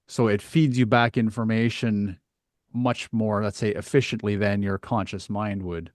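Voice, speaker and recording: Man, lowish voice, podcast host, reflective, tentative, close dry sound. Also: steady tone, measured pace, no echo. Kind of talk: casual, reflective interview discussion.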